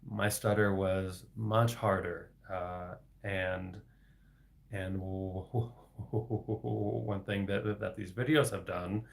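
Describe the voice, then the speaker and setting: A young man with a low, gravelly voice talks slowly on a casual solo livestream. He stutters heavily, repeating sounds and getting stuck on words, and laughs softly between phrases. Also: steady tone. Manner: heavy stutter with repetitions and blocks, frequent soft laughs. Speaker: livestream host reading viewer questions.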